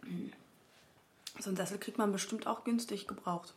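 An actress playing a helpful, gently persistent young woman in a naturalistic radio drama. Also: mid-range voice, steady tone, normal speed, a little hiss or hum, natural voice. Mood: helpful, curious.

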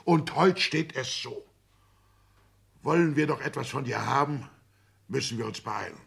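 An actor playing an aggrieved, blustering older petty-bourgeois father with a gravelly, husky voice in a 1960s German radio play. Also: medium pitch, lively intonation, a little slow, fairly bright tone, exaggerated voice. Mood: aggrieved, reproachful.

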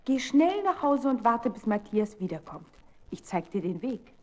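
A woman playing a protective, gently scolding little forest cricket in a children's radio play. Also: fairly high pitch, sing-song, normal speed. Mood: caring, urgent.

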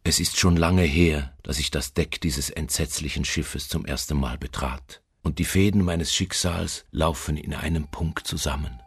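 An actor playing a haunted, doomed seafarer in a literary radio drama, speaking in a slow, brooding, solemn manner with a husky, gravelly voice. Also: male voice, dry recording, clean studio sound, full character voice. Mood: eerie awe. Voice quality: very deep, gravelly, husky, at times near whisper. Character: haunted male seafarer recounting his doom aboard a ghost ship.

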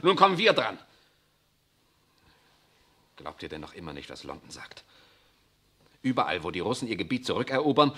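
An actor playing a bitter, pessimistic young husband and scholar in a 1960s radio drama. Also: male voice, mid-range voice, bright, forceful tone. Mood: agitated. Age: young adult.

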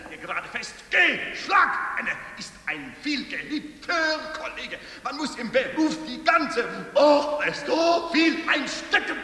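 Rowdy fortyish wrestling fan, radio play: piercing, slow, far off in a reverberant hall. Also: male voice, very high-pitched, sharp tone, full character voice. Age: 40s. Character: rowdy 44-year-old male wrestling fan letting off steam. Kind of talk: experimental radio play, declaimed. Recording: distant, echoing hall.

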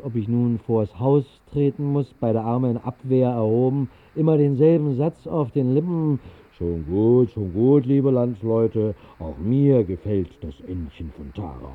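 An actor playing a wry vagrant poet, narrating in a dry, measured way in a 1960s literary radio drama. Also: male voice, mid-range voice, close to an everyday voice. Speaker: first-person narrator. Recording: thin, phone-like old radio recording.

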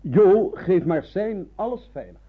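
A man's voice: sits high, expressive, husky, exaggerated voice. A man acting a courteous, thoughtful village pastor in a Dutch wartime radio drama. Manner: measured, polite, thoughtful. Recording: muffled, band-limited old radio recording.

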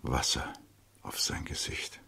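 A man narrating a literary radio play dramatically, in measured short phrases with expressive storytelling. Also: in a whisper. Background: prominent music.

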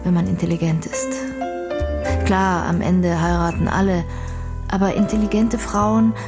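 A woman's voice: on the low side, steady tone, a little slow, over music. An actress playing an intelligent, outspoken young woman in a radio play, speaking in an articulate, reflective and earnest way.

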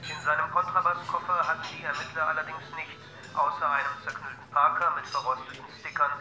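A formal male news reader gives a sober scripted bulletin. His voice is a little high and sits in a roomy sound with music behind it. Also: flat delivery, fairly quick, sharp tone. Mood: sober, official. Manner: matter-of-fact.

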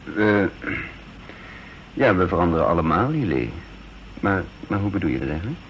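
An actor playing a guarded, earnest intelligence chief in a radio drama, musing and hesitant, on a dull, noisy old recording. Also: male voice, low-pitched, big pitch swings, moderate pace. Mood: evasive. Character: guarded male intelligence chief, flippant facade over gravity. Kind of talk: radio drama acting with first-person narration.

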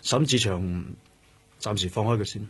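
An actor playing a brooding, driven male police detective with a husky voice, speaking Hong Kong Cantonese in a radio drama. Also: fairly low pitch, normal speed.

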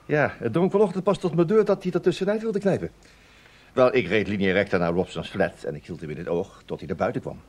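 An actor playing a wry, self-assured investigator in a radio play, explaining himself to a superior. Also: male voice, lowish voice, animated, a little fast, a little mellow. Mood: wry, defensive. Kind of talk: Dutch radio play acting.

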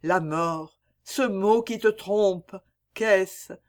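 A man reading the part of a dying young girl in a slow, lyrical, solemn way. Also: high voice, clear, resonant tone. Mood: dreamy. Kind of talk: dramatic poem reading.

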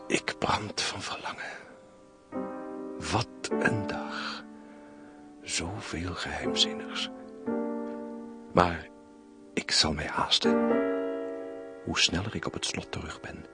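Deep-voiced, breathy, musing major. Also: male voice, takes it slowly, long pauses, loud music behind the voice, full character voice. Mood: eager, musing. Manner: breathy, half-whispered musing. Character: eager, curious army major, lovestruck and musing. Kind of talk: theatrical radio drama soliloquy.

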